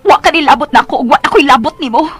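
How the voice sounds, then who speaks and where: A woman's voice: high-pitched, very fast, fairly bright tone, soft background music. An actress with a Visayan Filipino accent playing an aggrieved, pleading young woman in a melodramatic radio drama. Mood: bitter. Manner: plaintive, melodramatic.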